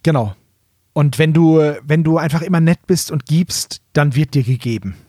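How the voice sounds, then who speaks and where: A man's voice: a little high, normal speed, warm tone, breathy edge, dry recording, studio quality. A man chatting casually as a podcast co-host in a playful, ironic way. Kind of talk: casual chat.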